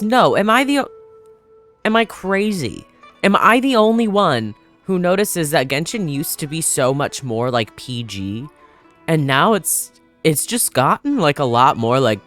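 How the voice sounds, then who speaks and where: A man's voice: on the high side, a bit bright. A man giving casual gameplay commentary, reacting aloud informally with sardonic asides. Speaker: gaming video host. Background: faint game music.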